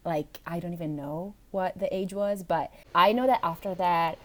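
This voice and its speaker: Podcast guest: woman, Italian accent, fairly low voice, giggly and halting. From Italy. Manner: laughs often, many fillers and restarts. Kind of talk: casual chat.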